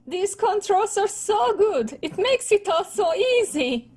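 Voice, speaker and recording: A woman puts on a brash teenage turtle boy, a competitive gamer from a cartoon comic. The voice is very high and excitable, pushed well above her usual talk. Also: takes it slowly, heavily acted. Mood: excited. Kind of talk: cartoon comic dub acting.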